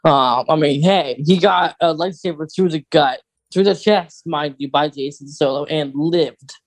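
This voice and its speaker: A woman's voice: deep voice, a little slow, no room sound. A woman co-hosting a podcast, chatting casually as a fan. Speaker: podcast co-host.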